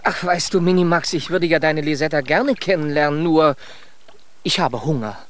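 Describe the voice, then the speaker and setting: An actor playing a curious, skeptical but amiable stork in a children's radio play, given to wondering exclamations. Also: male voice, fairly high pitch, normal speed, close to an everyday voice. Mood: amiable, reluctant.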